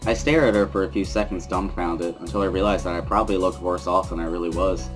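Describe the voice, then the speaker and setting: Male narrator, low voice, first-person visual novel reading over music. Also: steady tone, quick pace.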